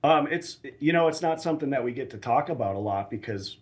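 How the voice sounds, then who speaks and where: An American man speaking as an academic expert in a news interview, explaining things in a measured, hesitant way. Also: mid-range voice, animated. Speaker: assistant professor of air traffic management, expert guest. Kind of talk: live news interview answers.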